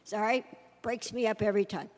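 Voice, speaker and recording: A woman's voice: mid-range voice, steady tone, a little fast, a little mellow. An American woman speaking as presenter and reader at a readers theater. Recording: reverberant hall recording.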